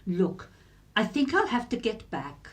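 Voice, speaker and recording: Woman acting a animated, practical housewife. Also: mid-range voice, unhurried. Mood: brisk. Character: brisk, practical housewife with chores waiting. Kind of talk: radio drama acting.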